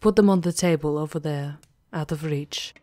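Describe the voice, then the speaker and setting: A woman's voice: low-pitched, moderate pace, dark tone, no room sound, close to an everyday voice. A woman playing a commanding aristocratic vampire countess in an ASMR roleplay, with a theatrical, teasing menace. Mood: composed, tender.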